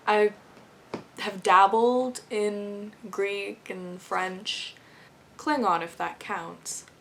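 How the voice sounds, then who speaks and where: A woman's voice: medium pitch, steady tone, very slow, long pauses, fairly bright tone, slight background noise. A young woman chatting casually to camera, rambling with frequent laughs. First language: English. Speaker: vlogger.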